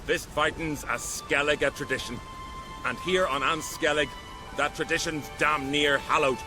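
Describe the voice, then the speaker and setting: An actor playing a proud island arena master in a video game, speaking ceremonially in archaic turns of phrase. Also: male voice, fairly high pitch, fairly even intonation, measured pace, lightly acted. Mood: solemn, proud. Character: proud island arena master, solemn keeper of fighting tradition. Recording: music behind the voice. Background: game music.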